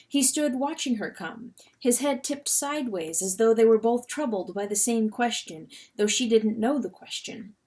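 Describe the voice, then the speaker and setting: A woman reading a novel aloud as dramatic narration, expressive and full of suspense. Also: fairly high pitch, moderate pace, hardly any pauses. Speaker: audiobook reader.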